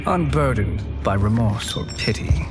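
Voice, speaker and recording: An actor playing a calm, calculating otherworldly conspirator in a video game cutscene, measured and persuasive. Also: male voice, medium pitch, lightly acted. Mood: solemn. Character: smooth-talking male Outworld conspirator, calm, calculating. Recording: voice under loud music.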